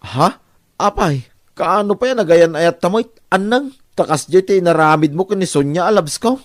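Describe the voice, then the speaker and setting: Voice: mid-range voice, animated, normal speed, dry recording, studio quality, natural voice. An actor playing a flustered, dithering young man with an Ilocano accent in a comedy radio drama, hesitant and stammering. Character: flustered, dithering young man caught between two women.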